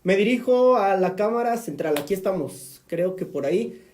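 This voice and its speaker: A man's voice: sits high, expressive, normal speed, darkish tone, roomy sound, a little hiss or hum. A man with a Mexican accent, a priest hosting a live-streamed religious talk show, chatty and preachy with joking asides. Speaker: priest and program host.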